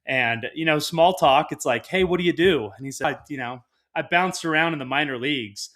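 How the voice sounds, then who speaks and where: A man's voice: a little high, fairly quick, a bit bright. A man hosting a podcast in a casual, conversational interview style, with a jovial, self-deprecating manner. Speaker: podcast host.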